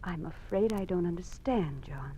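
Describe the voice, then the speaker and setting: An actress playing a gentle, devoted fiancée in an old-time radio drama, speaking earnestly and with sympathy. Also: female voice, fairly low pitch, expressive, normal speed, slight background noise, lightly acted. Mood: subdued.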